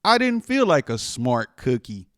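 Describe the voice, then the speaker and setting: A man reading a children's picture book aloud in an expressive way, stretching words for dramatic emphasis. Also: medium pitch, a little slow, dry recording, clean studio sound. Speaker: storytime reader.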